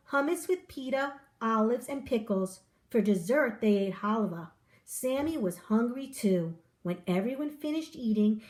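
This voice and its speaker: Slow-paced woman reading a children's story. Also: fairly high pitch. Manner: expressive storyteller delivery with voiced dialogue. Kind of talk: children's picture book read-aloud.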